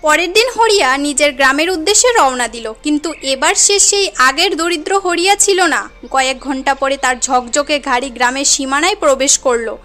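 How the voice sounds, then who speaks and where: A woman's voice: sits high, moderate pace, hardly any pauses, pushed and bright, soft background music. A woman narrating a moral cartoon tale in an earnest, dramatic storytelling manner.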